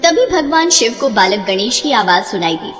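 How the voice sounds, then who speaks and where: High-voiced woman narrating a devotional tale. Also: sing-song, normal speed, a bit bright, in a large hall, faint music behind. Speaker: story narrator. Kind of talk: scripted devotional storytelling narration.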